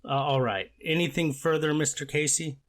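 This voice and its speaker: A man playing a stern but paternal judge, speaking formally and deliberately in a court transcript reenactment. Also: a little high, steady tone, lightly acted. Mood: formal, measured. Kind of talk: amateur readers theater court transcript reenactment.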